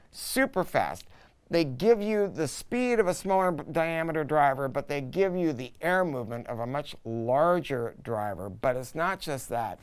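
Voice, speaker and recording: Smooth-voiced, emphatic American man reviewing gear. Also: fairly high pitch. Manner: emphatic, repeats key words for stress. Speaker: audio dealer presenting a product review. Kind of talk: casual, unscripted product talk.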